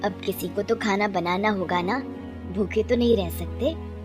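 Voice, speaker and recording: A woman voicing a long-suffering elderly village mother in a moral story, weary and gently reproachful. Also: medium pitch, unhurried, soft background music, pitched below the performer's own voice, natural voice. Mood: weary, caring. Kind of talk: dramatised Hindi moral story.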